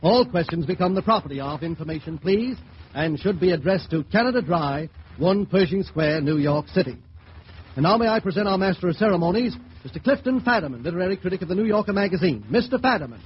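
A man's voice: sits high, animated, fast. A man announcing a vintage radio program in a polished, declamatory sales style. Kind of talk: 1930s-40s radio announcing. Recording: noisy, dull vintage radio recording.

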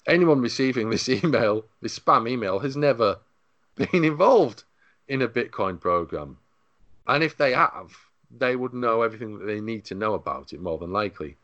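Male podcast host, lowish pitch, dry sound, conversational and wry tech presenting. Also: fairly bright tone, no room sound, highs cut off.

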